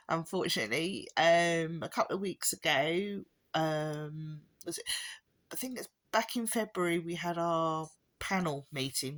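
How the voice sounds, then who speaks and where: A woman with a hoarse, fading voice from a chesty cough, giving a rambling, casual update to her viewers with wry little laughs. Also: low voice, fairly bright tone. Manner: rambling, with many ums and restarts, occasional wry laughs. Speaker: parent vlogger. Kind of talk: casual spoken update to camera.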